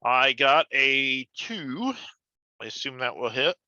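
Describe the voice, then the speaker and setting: A man's voice: medium pitch, lively intonation, slow, sharp tone, dry recording. A man playing a tabletop game, chatting casually and working out his dice rolls aloud, on slightly muffled audio.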